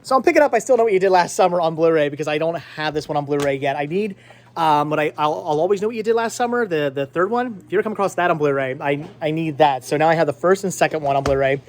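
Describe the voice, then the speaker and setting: A man's voice: fairly high pitch, quick pace. A man hosting a casual vlog, chatting in a rambling way and repeating words for emphasis. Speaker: vlogger host. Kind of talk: casual vlog chat to camera and friends.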